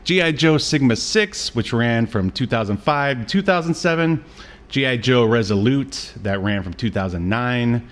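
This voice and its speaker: A man in his late thirties chats casually as a podcast co-host. He has an ordinary middle-pitched voice and a wry, joking way of talking, like someone bantering with an old friend. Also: lively intonation, moderate pace, fairly bright tone. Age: late 30s. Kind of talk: casual chat about old cartoons.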